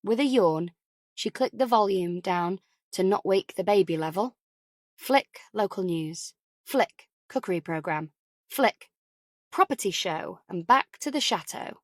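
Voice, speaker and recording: A woman's voice: medium pitch, lively intonation, a little slow, no room sound, clean studio sound. A woman reading a thriller novel as a scripted audiobook narration.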